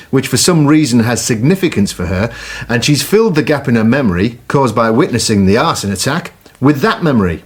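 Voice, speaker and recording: An actor playing Joe, a memory specialist who explains theories and coaxes a witness with calm questions. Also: male voice, husky. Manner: calm, low, coaxing questioning. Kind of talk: radio drama acting.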